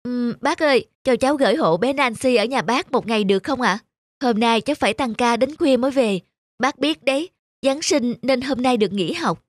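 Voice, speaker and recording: A woman playing a gentle, weary young mother in a cartoon, speaking softly and politely. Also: fairly high pitch, expressive, normal speed, dry recording, clean studio sound. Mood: polite, apologetic. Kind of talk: animated cartoon dubbing.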